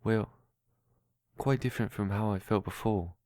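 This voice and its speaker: A man playing a timid, gentle, slow-witted young runaway in an audio drama, halting and earnest. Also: on the low side, no room sound, close to an everyday voice.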